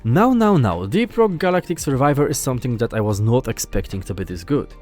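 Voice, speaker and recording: Earnest Polish man with lively intonation. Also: mid-range voice, fairly quick, soft background music. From Poland. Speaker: gaming channel host. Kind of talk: scripted video narration.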